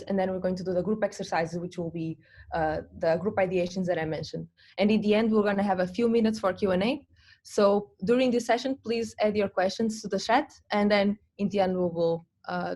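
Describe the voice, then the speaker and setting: A woman's voice: medium pitch, darkish tone. A young adult woman from Portugal presenting an online design workshop over a video call, with frequent ums and restarts. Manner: hesitant, many ums and restarts.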